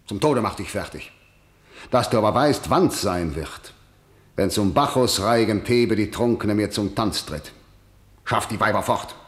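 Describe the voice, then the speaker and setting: A man's voice: on the low side, measured pace, echoey room, close to an everyday voice. An actor playing a harsh, tyrannical older king in a radio play, declaiming with contempt. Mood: cold. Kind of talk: classic stage radio-play acting.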